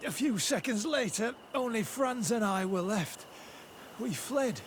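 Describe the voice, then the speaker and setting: A man's voice: high voice, animated, unhurried, a little hiss or hum. A man playing a wounded, shaken soldier in a video game, strained and pleading. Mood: weak, shaken. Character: wounded male soldier, frightened survivor of a massacre.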